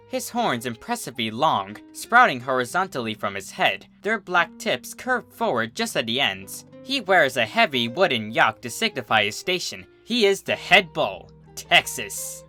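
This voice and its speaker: Male narrator, bright and expressive, for theatrical fantasy storytelling with deliberate delivery. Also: medium pitch, soft background music. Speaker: fan fiction reader, narrator. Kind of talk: dramatic scripted story narration.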